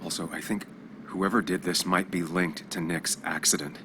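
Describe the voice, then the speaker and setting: Deep-voiced, brooding investigator played by a man. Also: fairly even intonation, normal speed, noisy background, close to an everyday voice. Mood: resolute. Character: brooding male investigative journalist, guarded and analytical. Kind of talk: video game voice acting with muttered inner monologue. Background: music.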